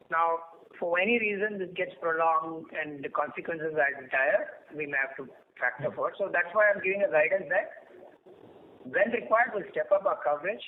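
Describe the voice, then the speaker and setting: A tinny conference line with faint noise carries a man with an Indian accent and a somewhat high voice. He answers like a senior banker, laying out his points in a measured, orderly way. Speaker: senior bank executive answering analysts. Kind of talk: earnings call answers. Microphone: telephone conference line.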